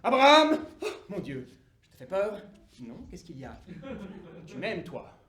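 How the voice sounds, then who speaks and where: A man doing a booming, demanding God. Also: very animated, measured pace. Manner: booming, imperious, teasing. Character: grand, capricious God who bets. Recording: echoey room.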